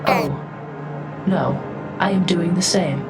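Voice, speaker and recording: Woman voicing a low-pitched, formal alien envoy. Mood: curious, polite. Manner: formal, precise, measured phrasing. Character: polite, curious female alien envoy, formal and thoughtful. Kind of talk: fan dub of a sci-fi game, character dialogue and inner narration. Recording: music behind the voice.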